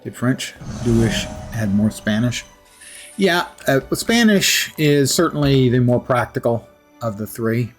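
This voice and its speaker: A man's voice: medium pitch, animated, unhurried, faint music behind. Rambling man streaming a game. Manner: rambling, self-mocking. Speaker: livestreamer. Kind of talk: casual gameplay chatter.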